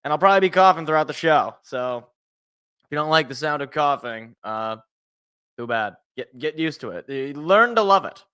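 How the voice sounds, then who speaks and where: Bright, slightly raspy American man. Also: on the high side, lively intonation, moderate pace. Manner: rambling, jokey, repeats phrases for emphasis. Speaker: sports show host. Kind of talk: casual solo sports talk. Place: indoors at home.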